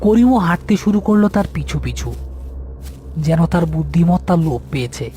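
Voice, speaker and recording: A man's voice: fairly high pitch, animated, brisk, mellow, slight background noise. A man reading a scripted horror story as its narrator.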